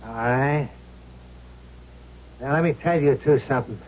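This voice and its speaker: An actor playing the wicked, mocking ghost of a dead gambler in a 1970s radio drama, husky and taunting. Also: male voice, medium pitch, a little hiss or hum, lightly acted. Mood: menacing. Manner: taunting, drawn-out delivery. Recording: muffled old radio recording.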